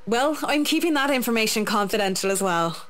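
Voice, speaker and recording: A woman's voice: a little high, animated, crowd noise, natural voice. A woman playing a discreet, frank and courteous female employee in a visual novel game. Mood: polite. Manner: formal, measured, courteous.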